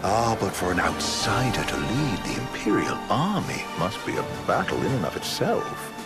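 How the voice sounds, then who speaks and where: An actor playing a sly imperial villain in a video game, pompous and silkily insinuating. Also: male voice, fairly low pitch, wildly swooping pitch, moderate pace. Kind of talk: video game cutscene acting. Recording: voice over loud game music.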